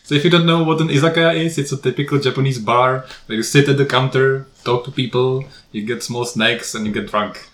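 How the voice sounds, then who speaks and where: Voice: mid-range voice, steady tone, brisk, few pauses, a bit bright, echoey room. A podcast host chatting casually with guests, laughing often and asking many questions. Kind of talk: casual chat.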